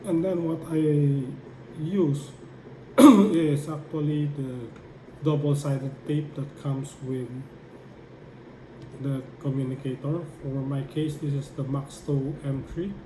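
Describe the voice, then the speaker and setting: A man giving a hands-on how-to demonstration, explaining step by step in a halting way as he works. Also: a little high, steady tone. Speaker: tutorial presenter. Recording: echoey room with clear background noise.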